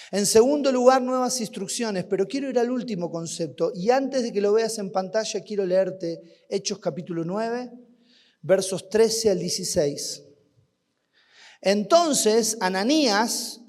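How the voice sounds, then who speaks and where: A man with an Argentine accent preaching a sermon at a youth camp, asking rhetorical questions and swinging between loud and hushed. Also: sits high. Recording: long hall reverb.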